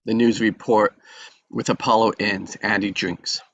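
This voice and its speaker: A man's voice: fairly low pitch, steady tone, a little slow, fairly bright tone, lo-fi sound. A man reading stage directions as scripted narration at a table read.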